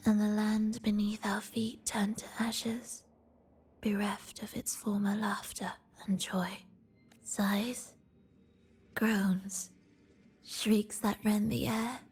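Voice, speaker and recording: Game role: melancholic woman composer. Soft, breathy, solemn, unhurried, medium pitch, over music. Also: level tone. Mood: mournful, solemn. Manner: solemn, poetic, measured delivery. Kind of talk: dramatic video game voice acting. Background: background music.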